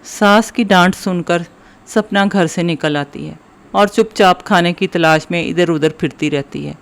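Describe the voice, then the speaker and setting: A male storyteller reads a children's moral tale from a script. His voice sits a little high for a man. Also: measured pace, vocal fry, fairly bright tone, smooth. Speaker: story narrator.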